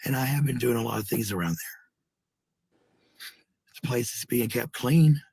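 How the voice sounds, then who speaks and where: A man with a raspy, gravelly voice in casual, rambling chat, blunt and swearing freely. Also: mid-range voice, moderate pace, a little mellow.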